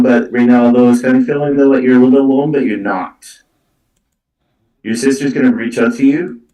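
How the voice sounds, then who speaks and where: A man giving a live spiritual card reading as a psychic medium, speaking in halting, repetitive phrases. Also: medium pitch, warm tone, far from the mic. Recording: roomy, echoey, away from the mic.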